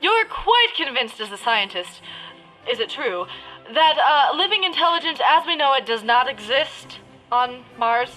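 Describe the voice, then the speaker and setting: Radio parody role: prim, foul-mouthed news interviewer female performer, high and forceful, mock-formal announcing, faint music behind. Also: very animated, a little slow, exaggerated voice, poor audio. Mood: mock-formal, prickly. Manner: mock-formal, breaking into crude asides. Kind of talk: parody of 1930s radio announcing, partly improvised.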